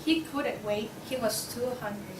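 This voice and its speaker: A woman's voice: on the high side, measured pace, close to an everyday voice. A woman playing an impatient, argumentative pig juror in a student play reading. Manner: insistent, exasperated. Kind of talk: student table read of a comic play. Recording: echoey noisy room, distant mic.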